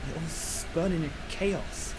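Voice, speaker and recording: A man's voice: fairly high pitch, noisy background. Grief-stricken young fisherman. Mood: distraught confession. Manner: anguished, halting, rustic speech. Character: grief-stricken young village fisherman, a self-described lout. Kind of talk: dramatic video game acting. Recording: music behind the voice.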